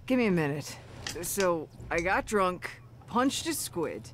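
A woman's voice: lowish voice, big pitch swings, slow pace, over a noisy crowd. An actress playing a brash, hard-drinking young woman in a video game, wisecracking and swearing freely. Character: brash young woman brawler, foul-mouthed and boozy.

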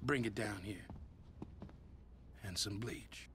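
An actor playing a heavyset, menacing record mogul who threatens a rival in a game cutscene. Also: male voice, on the low side, slow pace, noisy background. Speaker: game villain.

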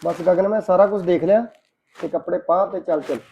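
A man's voice: high-pitched, fairly quick, darkish tone. A man playing an indignant, protective husband in a family drama, firm and insistent. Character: indignant, protective husband, visiting brother-in-law. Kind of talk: Punjabi domestic drama dialogue.

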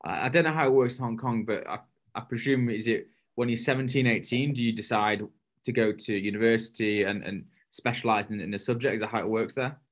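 A man in his thirties hosting a casual interview, asking questions in informal, halting phrases. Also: mid-range voice. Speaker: podcast host, interviewer. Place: indoor home room, video call.

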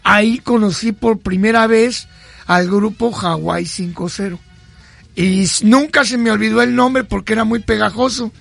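A man's voice: high voice, moderate pace, vocal fry, fairly bright tone, no echo, faint music behind. A man, rambling through an old memory. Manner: rambling, reminiscing. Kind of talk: casual storytelling.

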